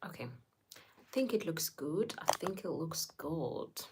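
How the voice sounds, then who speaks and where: A woman's voice: low-pitched, expressive, slow, background noise. A young woman from Zimbabwe hosting a casual livestream, chatting with viewers, greeting them by name and laughing often. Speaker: livestream host.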